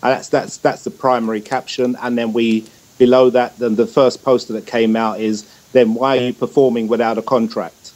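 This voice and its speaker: A man speaking as a talk show guest, explaining things in a casual, measured way. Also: mid-range voice, little pitch movement, a little mellow. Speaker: guest, music campaigner.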